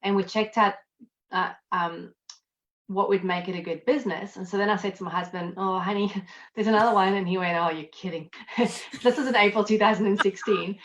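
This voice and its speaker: A woman with a South African accent, chatting casually as an interview guest, self-deprecating and quick to laugh. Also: mid-range voice, fairly even intonation, slight background noise. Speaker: guest, accountant and network marketer.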